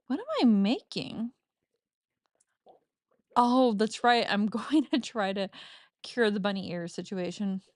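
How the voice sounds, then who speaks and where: A woman's voice: medium pitch, darkish tone, dry recording, studio quality. A woman chatting casually while playing a cozy farming game, giggly and self-mocking, with a slightly stuffy nose. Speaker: gaming streamer. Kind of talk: casual let's-play commentary.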